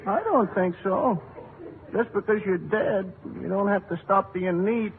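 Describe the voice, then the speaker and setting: A man playing an eccentric, earnest insurance salesman with a deadpan pitch in a 1940s radio comedy. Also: a little slow. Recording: tinny, lightly noisy old radio recording.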